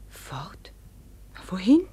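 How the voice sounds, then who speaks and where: An actress playing an anxious but steadfast farmer's wife in a 1950s German radio play, with plaintive, emotive delivery. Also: female voice, extremely slow, dark tone. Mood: dismayed.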